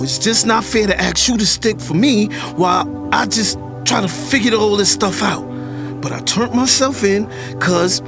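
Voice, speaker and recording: A man's voice: sits high, creaky, exaggerated voice. A man playing a troubled young prisoner in an audio drama, talking in regretful, colloquial street speech. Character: troubled jailed young man breaking off a romance.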